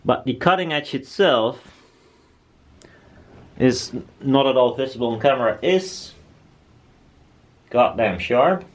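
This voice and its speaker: A man's voice: medium pitch, expressive, slow pace, pauses often. A man talking casually and bluntly as he presents his own DIY build, rambling informally. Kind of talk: casual workshop chat.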